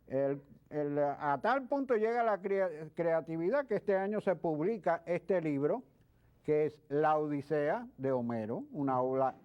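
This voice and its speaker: A man speaking as a guest expert in an interview, explaining things in a halting way with repeated words. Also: a little high, lively intonation, smooth. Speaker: guest expert, history professor. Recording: dull lo-fi sound.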